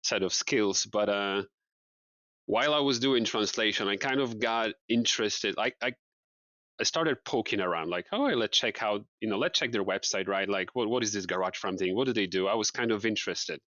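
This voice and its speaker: Low bright-toned Polish man, dull sound. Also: normal speed, no room sound. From Poland. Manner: relaxed, repeats words, laughs lightly. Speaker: guest. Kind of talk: casual interview.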